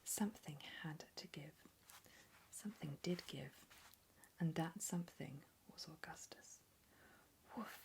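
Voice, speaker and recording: A woman's voice: sits low, unhurried, vocal fry, pauses often, darkish tone. Woman whispering a storybook.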